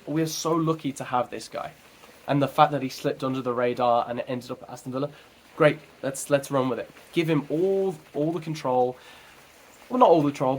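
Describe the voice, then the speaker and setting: A man's voice: medium pitch, a little mellow, a little hiss or hum. A man hosting a fan channel, talking casually on his own, often correcting himself mid-sentence. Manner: chatty, self-correcting, rambling. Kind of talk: casual solo commentary.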